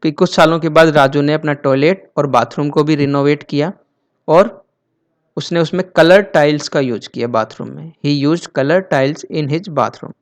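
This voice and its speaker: A man teaching a school lesson, reading text slowly and then explaining it in Hindi. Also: fairly high pitch.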